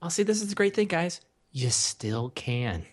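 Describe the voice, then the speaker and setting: A man's voice: a little high, very animated, normal speed. A man co-hosting a casual podcast chat, joking in a dry, wry way. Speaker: podcast co-host.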